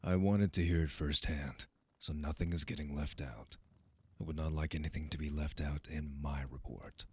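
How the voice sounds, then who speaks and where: Man acting a very deep, haughty fantasy nobleman. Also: steady tone, normal speed, husky, no room sound. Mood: cold, formal. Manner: formal, clipped, condescending. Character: haughty, duty-bound fantasy man, cold and disdainful. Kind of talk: fantasy audio roleplay acting.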